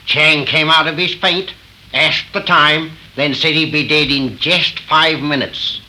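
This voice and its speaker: An actor playing a folksy old frontier man, deliberate and dramatic, in 1930s radio horror style on an old recording. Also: on the high side, steady tone, pushed and bright, heavily acted, rough recording. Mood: grave, ominous.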